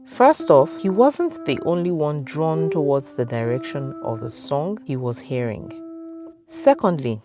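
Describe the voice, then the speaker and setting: A woman with a Nigerian accent narrating a scripted folktale as a storyteller, with dramatic, deliberate phrasing. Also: low voice, very animated, over music.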